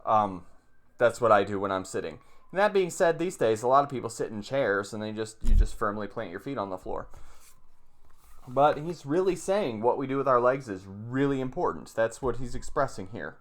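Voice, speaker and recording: Guy doing an informal meditation lesson, average pitch, plain talk, kinda halting and repeats himself. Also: lively intonation. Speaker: meditation teacher, video host. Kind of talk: informal teaching commentary with read-aloud passages.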